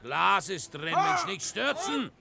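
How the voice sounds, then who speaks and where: An actor playing a gruff, bossy dockworker who barks rough, impatient orders at his crew in a radio play. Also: high voice, wildly swooping pitch, slow pace, exaggerated voice.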